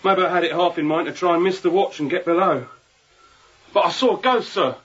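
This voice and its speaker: High-voiced, husky, contrite sailor. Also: male voice, brisk, fairly bright tone, lo-fi sound. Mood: awed, earnest. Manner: earnest rambling working-sailor speech, deferential. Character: contrite, frightened sailor pleading after a drunken night. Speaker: ship's crewman. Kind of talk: radio drama acting.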